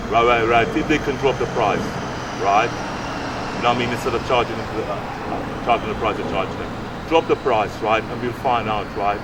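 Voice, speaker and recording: A man's voice: mid-range voice, moderate pace. A man, speaking earnestly and repetitively in a casual interview, often tagging phrases with "right?". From Guyana. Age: late 60s. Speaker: youth fitness coach and community mentor. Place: outdoors on an urban street by brick flats. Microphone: handheld microphone held at chest. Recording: distant outdoor mic, clear street noise.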